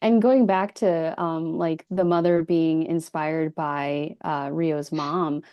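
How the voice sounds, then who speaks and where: A woman chatting casually in an author interview, quick and self-deprecating. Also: sits low, steady tone, clean tone, no echo, highs cut off. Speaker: guest author.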